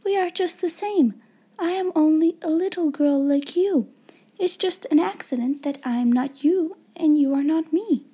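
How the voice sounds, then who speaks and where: A woman voicing a kind, dreamy little girl from a storybook, gentle, polite and well-spoken. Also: high-pitched, moderate pace, voice pushed up, close to an everyday voice. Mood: earnest, kind.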